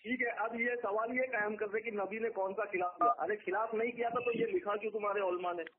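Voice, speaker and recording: A man's voice: high voice, fairly even intonation, fast talker, slightly rough. A man making emphatic accusations in a heated religious debate over a phone call, repeating his points. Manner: accusatory, argumentative, emphatic repetition. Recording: tinny phone conference line.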